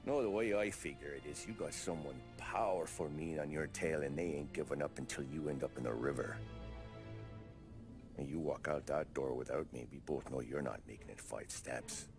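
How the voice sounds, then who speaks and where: A man's voice: very deep voice, moderate pace, over music. A man playing a world-weary, shrewd police detective in a video game, with an American accent and a sardonic, slangy, probing manner. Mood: pressing, knowing. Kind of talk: cinematic video game acting.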